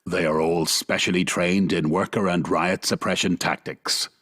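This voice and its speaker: A man's voice: deep voice, fairly even intonation, moderate pace, a bit bright, slightly rough. A man reading scripted, dramatic narration for a radio play.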